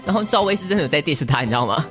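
A woman with a low voice and a Taiwanese Mandarin accent. She rattles along with lively intonation, wry and teasing in casual co-host banter. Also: very fast, soft background music. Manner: wry, teasing, mock-earnest. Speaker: podcast co-host.

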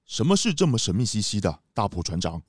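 A man's voice: lowish voice, big pitch swings, fast talker. A man playing a pompous, jovial city mayor in a children's audio drama, speaking in a grand, theatrical way. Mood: puzzled.

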